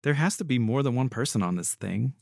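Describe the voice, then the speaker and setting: Sassy young woman played by a man, impatient, sarcastic, animated, audio-story dialogue, dry. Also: medium pitch, a little fast, gravelly, no room sound. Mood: worried.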